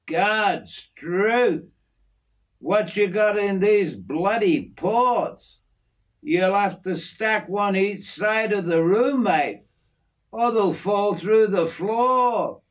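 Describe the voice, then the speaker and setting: A man putting on a friendly middle-aged Aussie working bloke, raised a bit above the reader's own pitch, with a broad, slangy, matey drawl. He talks at an unhurried, slow pace, like a storybook father showing off his backyard. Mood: joking. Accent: Australian. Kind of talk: storybook character voice.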